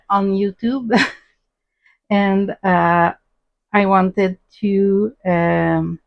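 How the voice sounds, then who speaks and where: A woman with a Québécois French accent, hosting a casual crafting vlog and talking in a halting way with many ums. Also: mid-range voice, gravelly, muffled recording. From Quebec, Canada. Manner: halting, many ums and uhs, soft-spoken. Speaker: crafting channel host.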